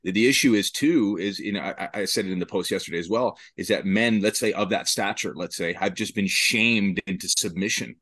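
A man's voice: on the low side, a little fast, few pauses, bright, forceful tone. A man talking in an earnest, emphatic way during a casual podcast discussion.

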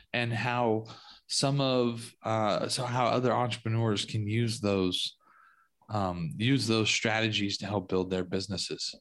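A man with a somewhat low voice hosts a podcast interview. He asks long, winding questions and heaps generous praise on his guests. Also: fairly bright tone. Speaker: podcast host. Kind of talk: interview hosting.

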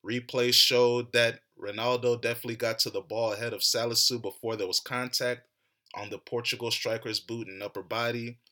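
A man's voice: mid-range voice, level tone, fairly quick, pushed and bright. A young American man hosting a podcast, ranting hotly and mockingly about a soccer match. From United States. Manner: heated, mocking rants. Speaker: podcast host. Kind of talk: animated solo soccer commentary.

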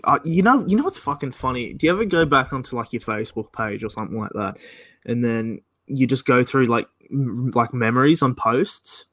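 A man's voice: medium pitch, lively intonation, fairly quick, fairly bright tone, no echo. Aussie guy in his 20s, laughs a lot, swears constantly, dry close sound. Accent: Australian. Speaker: podcast co-host. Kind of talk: casual chat among mates.

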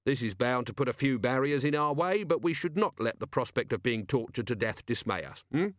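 A man performs a male commander of the watch for an audiobook. He is weary and sardonic, speaking in a dry, understated way at a middling pitch. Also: animated, a little fast, no echo, lightly acted. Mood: wry, resolute.

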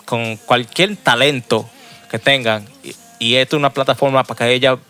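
A man's voice: mid-range voice, steady tone, normal speed, pushed and bright, clear, resonant tone, faint music behind. A man hosting a podcast, chatting casually and switching between Spanish and English slang. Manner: laid-back, mixes Spanish and English slang. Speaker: podcast host. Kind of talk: casual bilingual interview chat.

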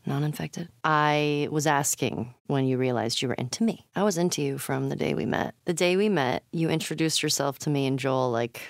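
A woman's voice: deep voice, moderate pace, hardly any pauses, dry recording, studio quality. A woman with an American accent acting a tough, foul-mouthed, sardonic young survivor in a video game, in a naturalistic style. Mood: quiet, reflective. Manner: sweary, muttering, sardonic.

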